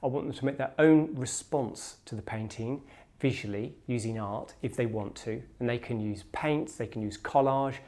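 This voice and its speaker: A man's voice: medium pitch, moderate pace, darkish tone. A man speaking as an artist in a promotional interview, explaining things to the audience. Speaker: artist, painter.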